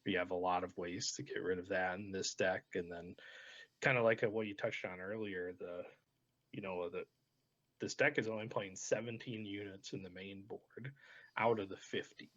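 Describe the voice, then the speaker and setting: Low-pitched man, dull lo-fi sound. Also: fairly even intonation, moderate pace, a bit bright. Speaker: host of a trading card game channel. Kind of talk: casual deck discussion. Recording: dull, lo-fi voice track.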